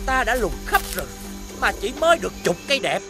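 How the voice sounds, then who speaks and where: A man voicing a haughty, greedy rich lord in an animated fairy tale, pompous and bossy. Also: high voice, brisk. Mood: impatient, haughty. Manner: pompous, commanding. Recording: loud music behind the voice.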